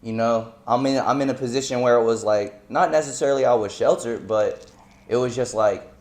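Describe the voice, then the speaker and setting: A man's voice: mid-range voice, a little fast. A man in his twenties who grew up in North Carolina, talking loosely as an interview guest, full of slang and fillers. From United States (North Carolina). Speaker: guest musician. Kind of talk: casual interview chat.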